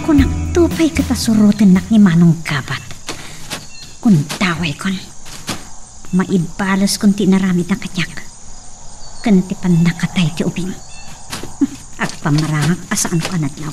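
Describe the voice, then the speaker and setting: A woman's voice: fairly low pitch, animated, measured pace, pauses often, warm tone, faint music behind. A woman with an Ilocano accent playing a wronged, angry woman in a radio drama, emotional and accusing. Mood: vengeful. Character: wronged, angry woman confronting a man at gunpoint.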